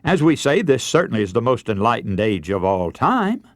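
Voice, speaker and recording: A man delivers a scripted radio sermon in a mid-pitched voice that rises and falls with great animation. He is earnest and emphatic, an orator addressing his listeners directly with rhetorical questions. The sound is close and dry, with very little room around him. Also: big pitch swings, moderate pace, dry recording. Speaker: radio preacher and broadcast host.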